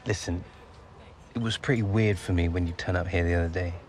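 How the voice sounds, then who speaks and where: A man's voice: sits low, fairly even intonation, a little fast, a little hiss or hum, natural voice. A young man with a British accent playing a guarded professional footballer in a naturalistic video game cutscene. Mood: friendly, low-key. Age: young adult.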